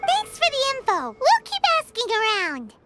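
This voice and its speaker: Squeaky little fairy girl, super high and bouncy, loud game music under it. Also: huge pitch swings, normal speed, exaggerated voice. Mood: curious. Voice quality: squeaky, childlike. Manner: blunt, curious questioning. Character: tiny chirpy fairy-like female companion, childlike and nosy. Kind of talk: video game voice acting.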